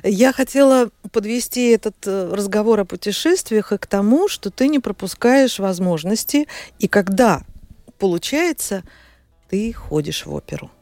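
A woman with a medium-pitched voice interviews a guest in a familiar, friendly way and steers the talk with her questions. The recording is close and dry. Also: expressive, moderate pace, a little mellow, no echo.

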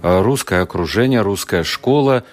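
A man's voice: on the low side, animated, moderate pace. A man hosting a radio interview, introducing his guest and pressing him with short follow-up questions. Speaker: radio host.

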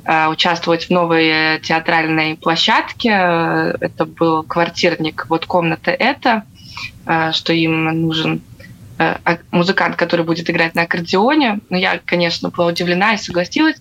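A young woman from Latvia telling her own story casually as an interview guest, with frequent hesitant pauses. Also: lowish voice, a bit bright, muffled recording. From Riga, Latvia. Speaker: guest, theatre accordionist.

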